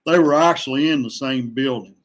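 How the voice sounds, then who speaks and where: A man in his sixties chatting casually as a podcast guest, folksy and halting, often repeating himself. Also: medium pitch. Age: 60s. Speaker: guest, veteran kayak angler. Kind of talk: casual fishing chat.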